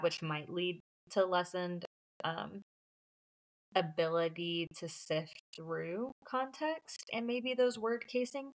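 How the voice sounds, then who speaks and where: A woman's voice: fairly low pitch, creaky, a bit bright, low-quality recording. A woman discussing books casually as a co-host, explaining her points in a conversational way. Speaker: book podcast co-host.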